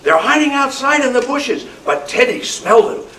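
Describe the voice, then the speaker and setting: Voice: high voice, very animated, normal speed, bright, forceful tone, heavily acted. A performer voicing an imaginative, stubborn little boy in a live spooky radio play reading, with a whiny, dramatic delivery. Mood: excited, insistent. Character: little boy Ira, excitable, stubborn, imaginative, fearful of boogeymen. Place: club meeting room, live reading. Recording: roomy distant microphone, noisy meeting room.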